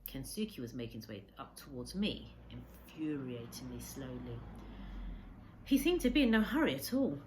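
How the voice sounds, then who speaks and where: A woman reading a storybook aloud as a teacher would, expressively, with measured dramatic emphasis. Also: very deep voice, very animated, noisy background.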